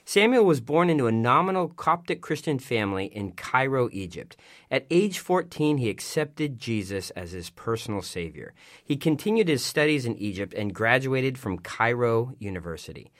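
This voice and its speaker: A man's voice: mid-range voice, very animated, moderate pace, fairly bright tone. A middle-aged man hosting a radio interview show, reading a scripted introduction.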